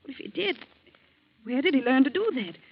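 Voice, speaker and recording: A woman's voice: sits high, very animated, a little fast, slightly rough, heavily acted. A woman playing an anxious, suspicious young Viennese woman in a 1940s radio drama, speaking in an urgent, emotional, theatrical way. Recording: dull lo-fi 1940s radio recording.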